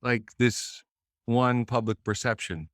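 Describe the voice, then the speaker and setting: Boston musician guy musing, mid-pitch voice, lots of restarts, dry close sound. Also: slow pace, fairly bright tone, no echo. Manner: thoughtful, meandering, many restarts. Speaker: musician guest. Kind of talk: casual interview chat.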